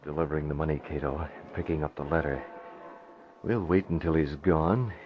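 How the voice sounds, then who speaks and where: An actor playing a cool, wry newspaper boss and secret crimefighter in 1940s radio drama, dry and assured. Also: male voice, very deep voice, moderate pace, slight background noise. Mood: brisk, resolute. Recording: muffled old radio broadcast.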